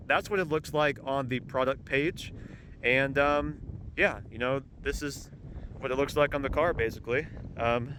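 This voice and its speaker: A man reviewing a car part for his vlog, talking outdoors with a halting, rambling delivery full of ums and uhs. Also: a little high. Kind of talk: casual product review vlog. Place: outdoors in strong wind. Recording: loud wind noise on the mic. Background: gusting wind.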